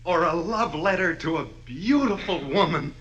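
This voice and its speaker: A man's voice: fairly high pitch, lively intonation, moderate pace, a bit bright, highs cut off. An actor playing a fussy, over-earnest, preachy drugstore delivery man in an old-time radio comedy. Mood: gushing, persuasive. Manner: preachy, melodramatic. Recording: noisy vintage radio recording with clicks.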